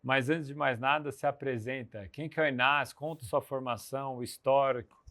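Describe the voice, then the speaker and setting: A man hosting a podcast interview, opening the episode and asking questions in an energetic, conversational way. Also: medium pitch, a little fast. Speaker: podcast host.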